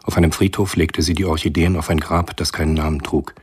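A man narrating a radio drama from a script in a measured, sober storytelling manner. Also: very deep voice, little pitch movement, husky.

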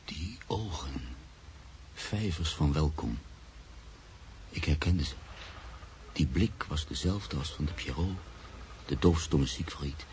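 An actor playing a grave, reflective man who narrates his own story in a radio drama, gravelly and measured. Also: extremely low pitch, animated, a little mellow, full character voice, rough recording. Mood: solemn, awed. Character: Freek, reflective grave man, narrator-protagonist moved by loss. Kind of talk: literary radio drama monologue. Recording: faint background noise.

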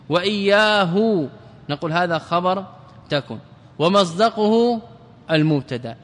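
A man teaching an Arabic grammar lesson as a religious scholar. He questions his students, answers himself, and chants verse lines slowly. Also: high voice, long reverb tail.

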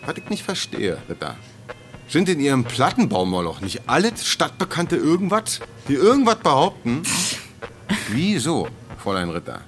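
An actor playing a gruff, condescending police superior, sneering and colloquial, in a radio drama. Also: male voice, mid-range voice, huge pitch swings, moderate pace. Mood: sarcastic, condescending.

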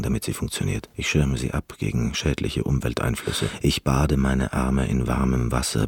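An actor playing a neurotic, eccentric and reclusive concert pianist in a radio play, obsessive, pedantic and self-absorbed. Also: male voice, extremely low pitch, darkish tone, slightly rough, full character voice. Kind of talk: radio play monologue and dialogue.

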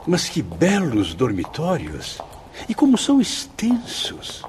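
A man's voice: fairly high pitch, wildly swooping pitch, takes it slowly, background noise, exaggerated voice. A Brazilian man playing an earnest, anxious spirit pupil in a radio drama, speaking formally and emotively. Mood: admiring.

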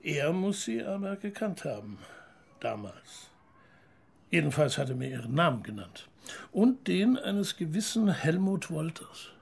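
An actor playing an evasive investigative journalist in a radio crime drama, speaking hesitantly and precisely in German. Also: male voice, a little high, sing-song, unhurried, vocal fry, darkish tone, breathy edge, full character voice. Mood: explanatory, composed. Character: evasive male investigative journalist giving testimony.

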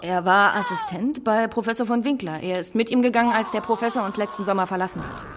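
A woman playing a brisk, guarded hospital nurse in a German radio drama, with a businesslike, reserved manner. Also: medium pitch, close to an everyday voice. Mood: matter-of-fact. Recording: long reverb, loud background noise.

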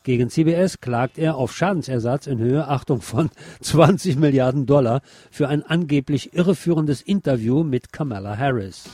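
Mellow-voiced man reading a radio report. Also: mid-range voice, normal speed, hardly any pauses, no echo. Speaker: radio presenter. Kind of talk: reading a press freedom report aloud.

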